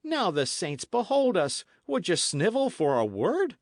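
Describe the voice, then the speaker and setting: A man voicing a rough, commanding young squire who speaks forcefully in old-fashioned words. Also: high voice, huge pitch swings, unhurried, no room sound, studio quality, voice pushed up, full character voice. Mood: gruff, teasing.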